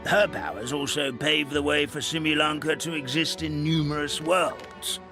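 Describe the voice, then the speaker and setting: A man's voice: on the high side, fairly even intonation, normal speed, over music, lightly acted. An actor playing a chubby, forgetful old male paper hamster who chuckles and sighs as he rambles through the forest's history. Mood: storytelling. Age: older. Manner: doddering, chuckling, sighing storyteller.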